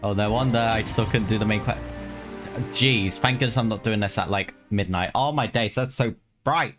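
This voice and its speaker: A woman's voice: rumbling low, moderate pace. A woman streaming gameplay with casual, rambling commentary and slangy, muttered exclamations. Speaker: game streamer. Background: game music.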